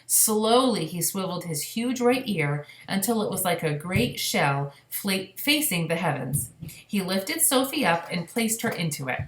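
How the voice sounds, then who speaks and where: Storyteller: woman, slightly low voice, children's read-aloud with explanatory asides, roomy echo. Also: very animated, measured pace. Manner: explanatory, addressing young listeners. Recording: echoey room.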